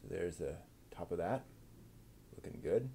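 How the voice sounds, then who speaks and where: A man giving casual running commentary while he builds a model kit, talking slowly and methodically and half to himself. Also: deep voice, animated, vocal fry, mellow, slight background noise. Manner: muttering to himself, slow and methodical.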